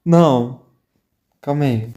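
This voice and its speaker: Reaction commentator: Brazilian male, very soft-edged dark voice, loose conversational delivery. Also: mid-range voice, slow, slight background noise. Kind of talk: casual reaction chat.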